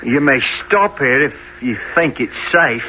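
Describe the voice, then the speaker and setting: An actor in a radio play playing a nervous, streetwise young thief, wary and hesitant. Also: male voice, medium pitch, lively intonation, a little slow, bright, forceful tone. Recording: muffled radio-play recording, faint noise.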